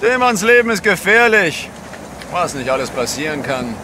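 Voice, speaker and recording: An actor playing a superstitious sailor who spins ominous yarns in an audio drama. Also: male voice, a little high, very animated, noisy background. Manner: ominous, drawn-out yarn-spinning. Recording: loud outdoor ship ambience.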